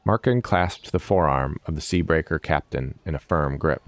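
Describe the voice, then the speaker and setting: Male fantasy narrator: deep register, dramatic scripted storytelling, dry studio-like sound. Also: moderate pace, no echo.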